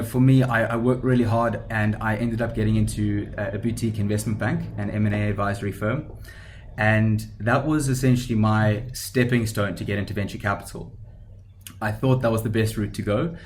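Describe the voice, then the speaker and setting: South African man, low and flat-voiced. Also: slight background noise. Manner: hesitant, many ums and restarts. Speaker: startup founder and guest. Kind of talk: conversational podcast interview.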